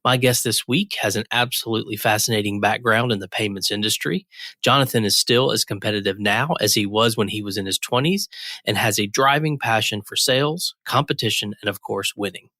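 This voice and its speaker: Male podcast host, smooth scripted intros, dry close-miked voice. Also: fairly low pitch, brisk, pushed and bright, no echo. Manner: smooth, scripted-sounding introductions, friendly prompts. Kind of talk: podcast interview hosting.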